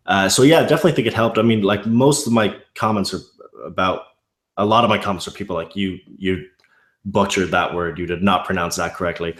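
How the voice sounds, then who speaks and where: A man's voice: deep voice, brisk, fairly bright tone, echoey room. A man from Ireland with a mild Irish accent, chatting casually as an interview guest, rambling with many restarts. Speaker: YouTube narrator guest.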